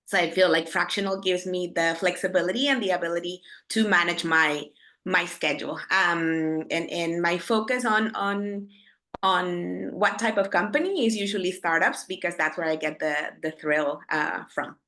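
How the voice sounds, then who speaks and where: A woman in her thirties from El Salvador, speaking thoughtfully on a conference panel with pauses and fillers. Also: fairly low pitch, sharp tone. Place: conference stage.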